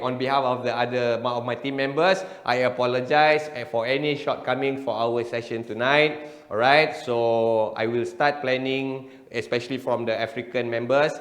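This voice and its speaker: A man's voice: medium pitch, fairly bright tone, roomy sound, long reverb tail. A man with a Malaysian accent presenting a skincare product on a video call, friendly and joking. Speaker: business development manager presenting a product. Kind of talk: online product presentation and class wrap-up.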